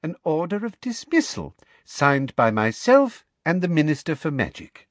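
A man voicing a cruel woman official with a high girlish voice and cold, precise diction. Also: sing-song, normal speed, no echo. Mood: gloating. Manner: sweetly cold, precise, gloating.

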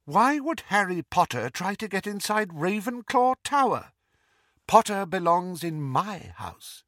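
A man's voice: mid-range voice, huge pitch swings, unhurried, fairly bright tone, no room sound, studio quality, pitched above the performer's own voice, exaggerated voice. A man voicing a stern, dignified female professor of witchcraft, precise, formal and icily impatient, in an audiobook. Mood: sharp.

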